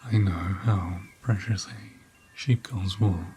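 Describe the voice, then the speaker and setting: A man playing a gentle, caring ram in an ASMR roleplay, speaking in a soft, breathy half-whisper with a soothing, tender manner. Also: low-pitched, takes it slowly, slight background noise. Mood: soothing, reassuring. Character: gentle, caring male ram tending an injured lamb.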